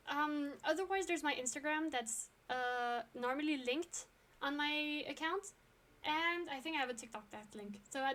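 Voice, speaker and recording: A young woman from France talks to her stream chat in a relaxed, rambling way. Her voice sits high, and she fills pauses with ums and quick laughs. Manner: chatty, frequent ums and laughs. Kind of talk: casual chat with viewers.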